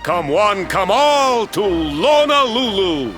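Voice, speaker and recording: An actor playing a hearty, boisterous island villager in a video game, speaking in an expansive, proclaiming way. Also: male voice, high voice, sing-song, slow, exaggerated voice. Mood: jovial, grateful. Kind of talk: video game character acting. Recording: music behind the voice.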